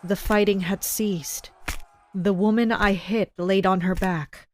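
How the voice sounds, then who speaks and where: A woman's voice: medium pitch, steady tone, slow pace, darkish tone, a little hiss or hum, lightly acted. A woman playing a tense, commanding castle fighter in a first-person dramatic narration. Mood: tense, watchful. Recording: music behind the voice. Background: music.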